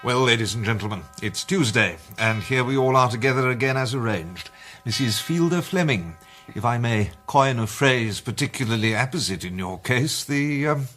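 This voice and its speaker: An actor plays a courteous club president in an audio mystery. His mid-pitched voice is measured and formal, with dry wit, and music plays behind him. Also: expressive, a bit bright, lightly acted. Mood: dry, genial. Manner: formal, measured, drily witty. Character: urbane, drily courteous club president chairing a meeting.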